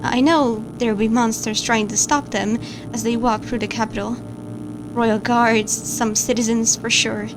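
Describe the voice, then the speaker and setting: A woman's voice: fairly high pitch, fairly even intonation, unhurried, background noise. A woman playing an anxious, guilt-ridden watcher of camera feeds, who stammers and hesitates as she narrates a horror log over music.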